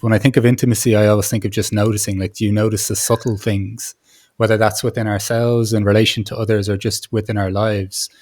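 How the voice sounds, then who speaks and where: A man with a fairly low voice speaks as a podcast host in a reflective conversation. He feels his way toward his point, halting and rephrasing mid-sentence as he goes. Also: level tone, darkish tone.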